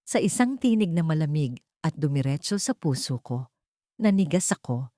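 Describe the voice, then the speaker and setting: A woman with a low, mellow voice narrates a scary first-person story. The delivery is scripted, dramatic and suspenseful, and the sound is close and dry. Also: sing-song, moderate pace, no room sound.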